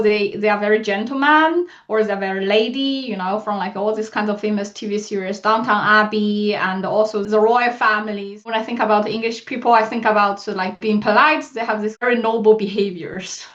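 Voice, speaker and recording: A woman's voice: medium pitch, steady tone, normal speed, few pauses, highs cut off. A woman from southwest China speaking earnestly in a street interview.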